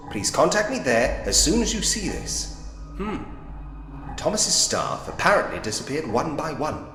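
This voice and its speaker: An actor playing an inquisitive, wryly humorous investigator in a Victorian ghost story adventure game, musing to himself theatrically. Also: male voice, mid-range voice, a bit bright. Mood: intrigued, resolute. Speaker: player character in a point-and-click adventure game. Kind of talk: scripted game voice acting, first-person observations. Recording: echoey hall reverb, music underneath.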